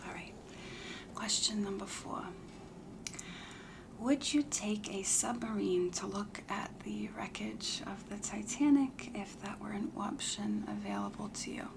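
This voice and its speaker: A woman playing a self-assured, chatty matchmaker in a comedy ASMR roleplay, whispering softly in a deliberate, confiding, matter-of-fact way. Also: mid-range voice, background noise. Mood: patient, businesslike. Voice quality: breathy whisper with heavy creak. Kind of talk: soft-spoken whispered ASMR roleplay questionnaire.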